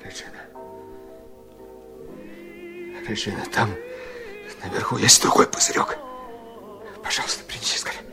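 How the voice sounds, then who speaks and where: Ailing husband, low deep male voice, bitter, stubborn, theatrical radio play. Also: huge pitch swings, fairly quick, over music. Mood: desperate. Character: gravely ill, embittered, stubborn husband. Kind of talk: radio drama acting, 1970s.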